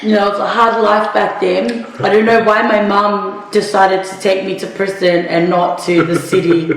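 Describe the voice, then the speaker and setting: A woman's voice: medium pitch, flat delivery, moderate pace, far from the mic. A young woman chatting casually and laughing as she eats, filming a couple's vlog at home. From Australia. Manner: giggly, chatty, talks while eating. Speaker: vlogger. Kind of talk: casual chat while eating. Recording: echoey room, faint background hiss.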